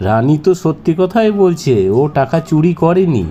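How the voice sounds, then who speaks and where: Highish-voiced protective cartoon father, played by a man. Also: lively intonation, moderate pace, warm tone, a little hiss or hum, close to an everyday voice. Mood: protective, firm. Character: protective father and exasperated husband. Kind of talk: melodramatic cartoon voice acting.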